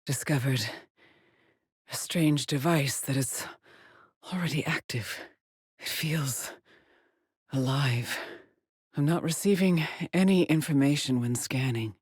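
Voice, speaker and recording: An actress playing a haunted, weary woman astronaut stranded alone on an alien world in a video game, with a breathy voice and a grave, brooding delivery. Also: very deep voice, slow, pauses often, darkish tone, no echo, studio quality, heavily acted. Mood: awed, wary. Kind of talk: dramatic game voice lines, monologue logs.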